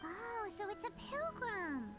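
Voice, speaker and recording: A woman voicing a tiny, chirpy, cheeky companion in a video game, squeaky and teasing. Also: big pitch swings, unhurried, heavily acted. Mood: curious. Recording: music behind the voice.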